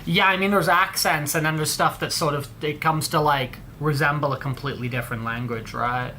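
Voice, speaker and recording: A man's voice: a little high, steady tone, a little fast, sharp tone, a little hiss or hum. A man with an English accent hosting a livestream, chatting casually in a rambling, slangy way. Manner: rambling, heavy on slang like dude and bro. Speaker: livestream host.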